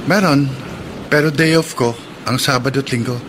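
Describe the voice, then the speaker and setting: An actor playing a gentle, eager young suitor in a radio drama. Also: male voice, mid-range voice, moderate pace, background noise, lightly acted. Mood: easygoing, attentive. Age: young adult. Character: eager young suitor, polite call center worker. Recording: radio drama with clear background ambience.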